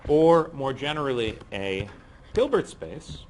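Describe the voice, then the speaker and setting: Soft-spoken male lecturer with a cold. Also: mid-range voice, very animated, unhurried, slight background noise, rough recording. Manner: speaks softly to save his voice, with frequent ums. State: has a cold, losing his voice. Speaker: physics lecturer. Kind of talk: university lecture. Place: lecture room.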